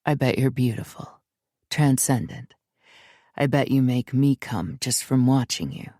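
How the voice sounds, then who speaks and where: A woman reading the part of a dominant man in an erotic story, speaking low, slow and controlled. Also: warm tone, no echo, studio quality. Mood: low, commanding. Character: commanding, dominant adult man lover.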